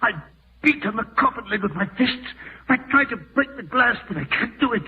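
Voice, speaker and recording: An actor playing an aged, irascible, fearful patriarch in an old radio drama, commanding and insistent. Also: male voice, extremely high pitch, expressive, fairly quick, distant mic, a little hiss or hum. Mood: panicked. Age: older. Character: aged irascible dying patriarch haunted by fear. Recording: muffled, narrow-band vintage radio recording.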